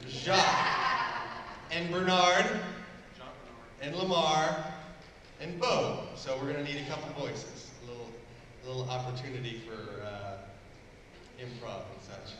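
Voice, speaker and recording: A man's voice: mid-range voice, a little slow, pauses often. A man casually hosting a live event, giving improvised instructions to participants. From Kentucky, United States. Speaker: host organizing a live table read. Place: large convention hall with live ambience. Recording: echoey noisy hall, far from mic.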